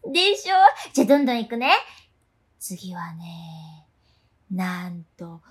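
A woman's voice: fairly low pitch, very animated, unhurried, breathy edge, pitched below the performer's own voice, exaggerated voice. A woman playing a friendly, quirky teenage schoolgirl in a radio drama, telling things with guileless eagerness. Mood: eerie, eager. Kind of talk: conversational radio drama acting.

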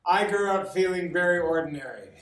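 American man preaching, high-pitched, in echoey room. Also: expressive, brisk, fairly bright tone. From Los Angeles, United States. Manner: informal, often chuckling, repeats words for emphasis. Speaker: guest preacher. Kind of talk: sermon with personal stories. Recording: roomy echo, distant from the mic.

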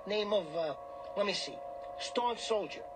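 High-pitched, brash streetwise hustler. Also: male voice, expressive, unhurried, music in the background. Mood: wheedling. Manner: wheedling, cocky, streetwise wise-guy talk. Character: brash, fast-talking small-time hustler and gambler, male. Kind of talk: radio drama acting.

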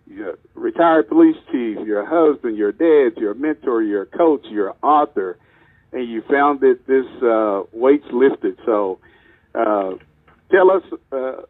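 A mid-pitched man co-hosts an interview, friendly and halting as he repeats his words. His voice sounds thin and narrow, like a phone line. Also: no echo. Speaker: podcast co-host, police chief. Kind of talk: casual podcast interview. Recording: tinny phone-line audio.

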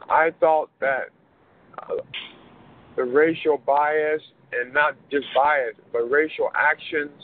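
A man, a pastor being interviewed, speaking in a measured, earnest way with many pauses. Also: medium pitch. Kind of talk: interview conversation. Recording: muffled phone line, faint hiss.

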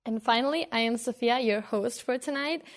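A woman's voice: fairly high pitch, brisk. A woman in her twenties from Spain, hosting a casual podcast chat with friends, loose and laughing with many fillers. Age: 20s. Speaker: podcast host.

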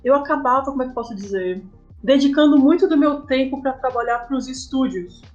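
A woman's voice: high voice, fairly quick, a little mellow, muffled recording. Brazilian woman chatting over background music. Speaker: guest, comic artist. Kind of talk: casual interview conversation. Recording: music playing behind the voice.

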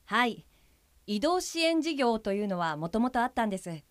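A woman's voice: a little high, very animated, moderate pace, dry recording. A woman playing an earnest guide helper for blind people in an audio drama, explaining in a polite, businesslike manner. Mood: polite, explanatory.

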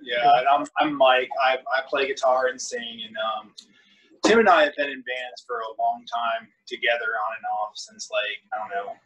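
A man from Virginia, guitarist and singer of a band, talking in a relaxed, rambling way. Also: mid-range voice, moderate pace, distant mic, poor audio. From southeast Virginia, USA. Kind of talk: casual interview answers.

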